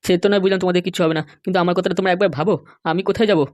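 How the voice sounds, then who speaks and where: An anxious middle-aged male politician from a comedy cartoon, acted out by a performer, rattles along very quickly in a dry, close sound. He wheedles and complains as he pleads. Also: mid-range voice, fairly even intonation, darkish tone, no room sound, natural voice. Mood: pleading.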